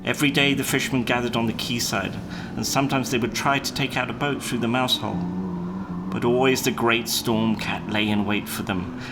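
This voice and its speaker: A man reading a children's story aloud in a measured, expressive storytelling manner. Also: medium pitch. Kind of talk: scripted children's story narration. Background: guitar music.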